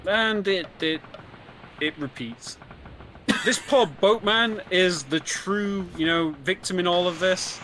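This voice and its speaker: A man's voice: high voice, takes it slowly, a little hiss or hum. A man co-commentating casually on a live video game run, with wry, understated asides. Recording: loud game music behind the voice. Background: loud video game music.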